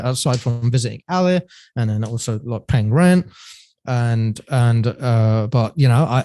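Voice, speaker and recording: A man's voice: mid-range voice, dark tone, no echo. A man, a podcast guest, tells his story earnestly in a rambling way, with frequent restarts and repeated "right?". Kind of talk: storytelling in an interview.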